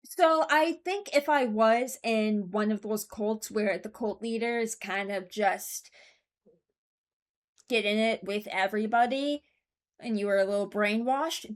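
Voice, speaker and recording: Woman, video host, average pitch, informal opinionated commentary, musing aloud. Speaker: video host ranking voicelines.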